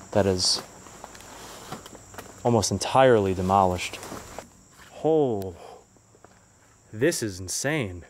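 A young man filming himself as he explores a ruined town, narrating what he finds in a curious, hushed way. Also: lowish voice, a little hiss or hum. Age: young adult. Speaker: urban explorer vlogger. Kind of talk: walking exploration commentary. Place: outdoors and inside ruined buildings. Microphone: handheld camera held at arm's length.

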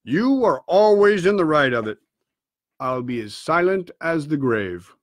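A man voicing a boastful country squire in a storybook. He is grand and eager and runs ahead of himself. The voice sits high and sounds dry and close. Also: big pitch swings, unhurried, no room sound. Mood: agreeable.

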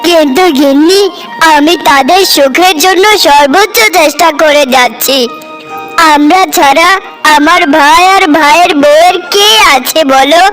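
A woman's voice: high-pitched, measured pace, fairly bright tone. A woman voicing a kindly, concerned village husband and elder brother in a cartoon drama, earnest and sympathetic. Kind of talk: Bengali cartoon family drama acting. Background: faint music.

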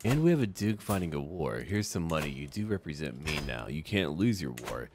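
A man's voice: rumbling low, sing-song, a little fast, background noise. A man giving casual game commentary with light role-play, musing aloud in short, clipped remarks. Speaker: gaming YouTuber. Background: background music.

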